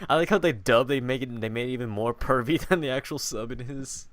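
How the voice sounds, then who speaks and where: A man's voice: medium pitch, a little fast, no echo. A man hosting a casual, jokey and informal interview chat. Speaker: podcast host.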